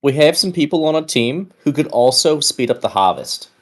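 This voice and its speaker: Guy in a tabletop rpg, fairly high voice, very animated, casual haggling. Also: moderate pace. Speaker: tabletop roleplay player. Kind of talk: casual in-character negotiation.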